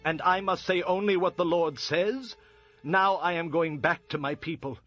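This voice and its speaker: Man acting a solemn high-voiced prophet. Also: a bit bright, no room sound, natural voice. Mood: resolute. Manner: declamatory, measured poetic delivery. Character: solemn, resolute male prophet uttering oracles. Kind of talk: dramatized audio scripture acting. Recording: dull lo-fi audio with music. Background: music.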